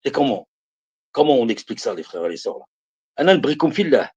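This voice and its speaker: A man in his fifties speaks with a husky voice, and his pitch rises and falls widely. He preaches to his viewers on a live stream, stressing his points and repeating phrases as he calls them brothers and sisters. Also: very animated, fairly quick, a little mellow, dull audio. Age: 50s. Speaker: live streamer giving religious advice.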